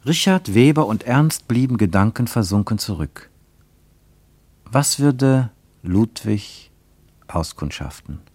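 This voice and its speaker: A man acting as the grave, reflective narrator of a literary radio play, with deliberate dramatic phrasing. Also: medium pitch, animated, a little mellow, no echo. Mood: grave, suspenseful.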